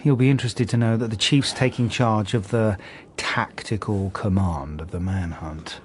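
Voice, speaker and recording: An actor playing a restrained, thoughtful police detective, measured and understated, in a radio drama. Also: male voice, fairly low pitch, a little hiss or hum, lightly acted. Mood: dry, thoughtful. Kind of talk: naturalistic radio drama dialogue.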